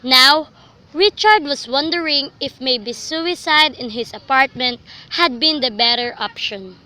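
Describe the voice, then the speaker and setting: A woman's voice: sits high, measured pace, bright, forceful tone. A young woman reading scripted literary narration for a student radio drama. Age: young adult.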